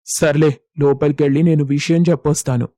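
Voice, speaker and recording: Man acting flat, stern gatekeeper watchman. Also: on the high side, brisk, no room sound, clean studio sound, natural voice. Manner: curt, flat. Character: stern, dismissive watchman at a rich household. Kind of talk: acted dialogue in an audio story.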